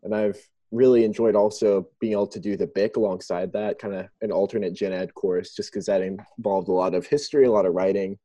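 Dark, husky young man answering thoughtfully. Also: fairly low pitch, fairly even intonation, fairly quick, few pauses, no room sound. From Thailand. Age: young adult. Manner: thoughtful, rambling, hesitant. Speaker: student guest. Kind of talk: remote interview answers. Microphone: remote call.

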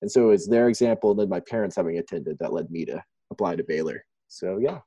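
A man's voice: sits low, quick pace, warm tone, breathy edge, no echo. A young man from Thailand, a student guest, answering interview questions over a remote call in a thoughtful, rambling, hesitant way. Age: young adult.

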